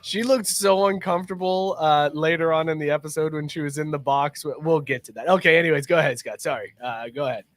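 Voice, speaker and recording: A man's voice: a little high, quick pace, hardly any pauses, fairly bright tone. A man hosting a casual comedic sports podcast, jumping in with profane jokes and steering the talk. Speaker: podcast host.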